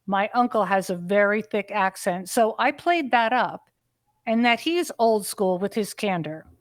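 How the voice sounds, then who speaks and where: A woman's voice reading out a listener's letter in an even, measured way. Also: medium pitch, dry recording, clean studio sound.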